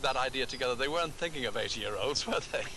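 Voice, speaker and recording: A man's voice: mid-range voice, lively intonation, fast talker, sharp tone, a little hiss or hum. A man asking conversational questions as a documentary interviewer.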